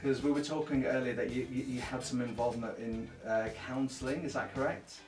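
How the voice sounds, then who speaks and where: A man's voice: mid-range voice, steady tone, fairly quick, a little mellow. A man hosts an interview and asks long, friendly questions. His voice sounds distant in an echoey room. Faint music plays under him. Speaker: host. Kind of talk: interview hosting.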